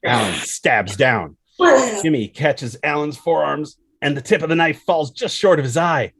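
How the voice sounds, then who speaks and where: A man's voice: a little high, unhurried, fairly bright tone, husky, no echo, clean studio sound. A man reading stage directions as the narrator of a screenplay table read.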